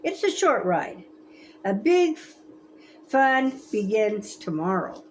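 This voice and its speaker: A woman in her seventies reading a children's story aloud in a gentle, expressive, grandmotherly way. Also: medium pitch, slow pace, slight background noise, rough recording. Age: 70s. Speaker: storybook reader.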